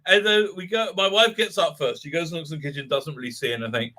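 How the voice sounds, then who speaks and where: Livestream host, man, chatty and rambling, very bright tone. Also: a little high, quick pace. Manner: rambling, many fillers and restarts. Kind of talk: casual livestream chat.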